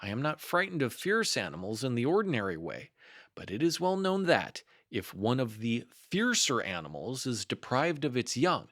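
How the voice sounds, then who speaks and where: A small, timid storybook piglet, put on by a man, anxious and fretful. The speech moves up and down in pitch, nervously, and sounds dry and close. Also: fairly bright tone, no room sound.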